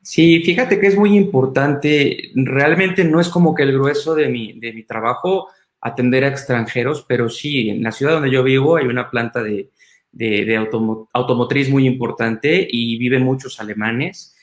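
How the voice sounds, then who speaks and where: A man's voice: medium pitch, normal speed. Man on an echoey call. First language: Spanish. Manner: thoughtful, restarts with fillers. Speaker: doctor. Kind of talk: casual video call chat. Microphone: video call.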